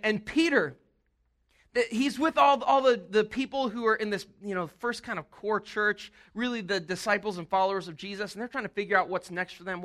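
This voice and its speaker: Bright-voiced man preaching casually. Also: high voice. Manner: casual, animated preaching with quick asides. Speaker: pastor. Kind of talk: sermon.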